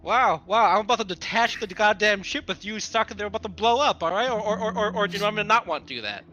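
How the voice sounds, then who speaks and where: A man's voice: high voice, lively intonation, fast talker, sharp tone, lo-fi sound, a little hiss or hum. A sarcastic man arguing in character during a tabletop roleplaying game, repeating words for emphasis. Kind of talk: argumentative in-character banter.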